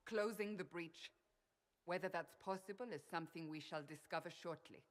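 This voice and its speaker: A woman's voice: fairly low pitch, moderate pace, lightly acted. A woman playing a stern warrior interrogator. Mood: grave. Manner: stern, commanding, grave. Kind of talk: video game dialogue. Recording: game audio with music underneath.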